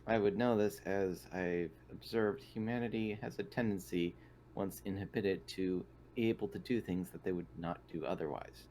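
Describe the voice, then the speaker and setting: A man's voice: sits low, normal speed, darkish tone, a little hiss or hum, poor audio. A man playing a polite, literal-minded android in a tabletop roleplaying game, speaking in formal, wordy, clinical phrases. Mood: clinical, measured. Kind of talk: in-character tabletop roleplay over online game.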